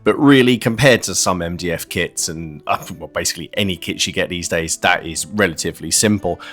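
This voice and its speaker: Guy with lowish voice doing chatty hobby how-to voiceover, casual, music in the background. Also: a little fast, a bit bright. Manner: informal, chatty, explanatory. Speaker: hobby video host. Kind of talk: casual narrated kit-building review.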